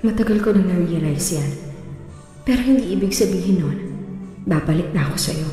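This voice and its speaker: An actress playing a blunt, firm estranged wife in a Filipino radio drama, defiant and exasperated. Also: female voice, on the low side, moderate pace, natural voice. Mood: cold, resigned. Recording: echoey playback under prominent music.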